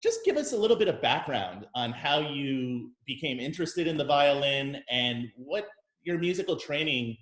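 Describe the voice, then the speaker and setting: A man, a conductor, hosting a casual interview in a measured, friendly way with many pauses and fillers. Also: mid-range voice, big pitch swings, fairly bright tone. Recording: roomy echo.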